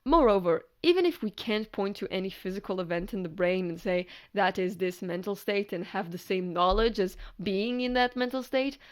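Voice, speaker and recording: A woman's voice: medium pitch, normal speed, hardly any pauses. A woman narrating a scripted video essay in a conversational, explanatory way with casual asides.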